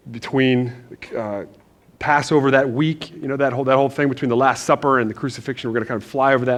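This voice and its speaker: A man from Northern Virginia preaching in a church, telling a story in a loose, animated, self-deprecating way with lots of restarts. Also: mid-range voice. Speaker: pastor. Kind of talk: casual storytelling sermon.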